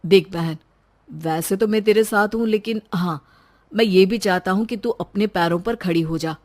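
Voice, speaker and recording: A woman's voice: medium pitch, normal speed, darkish tone, lightly acted. A woman voicing a supportive, frank adult brother in a story, caring and emphatic.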